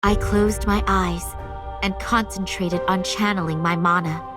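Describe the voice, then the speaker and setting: A woman's voice: medium pitch, steady tone, measured pace, over music, close to an everyday voice. A woman playing a determined young female vampire heir in a game, speaking earnestly and with open emotion. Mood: focused. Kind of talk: dramatic game voice acting with narration.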